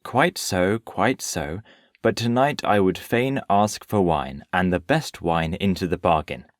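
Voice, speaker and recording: An actor playing a worldly, unruffled Parisian nobleman in an audio play, urbane and gently patronising. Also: low-pitched, a little slow, no room sound, clean studio sound. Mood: relaxed.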